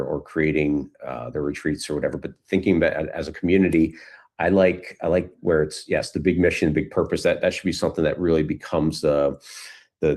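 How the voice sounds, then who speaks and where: Male interview guest, middle-aged, deep voice, casual storyteller, loose and rambling delivery with frequent restarts. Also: a little mellow. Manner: rambling, restarts phrases often.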